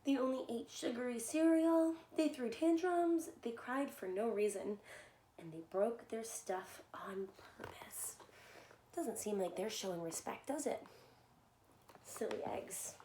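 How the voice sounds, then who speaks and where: A woman with a fairly high voice reads a story aloud for little kids. Her delivery is animated and expressive, as if speaking straight to a young audience. The room is clearly echoey, with slight noise behind her. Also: a little slow. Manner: animated, addresses listeners with simple questions. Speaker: children's story reader and soccer coach. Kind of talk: expressive read-aloud of a picture book with asides to young children.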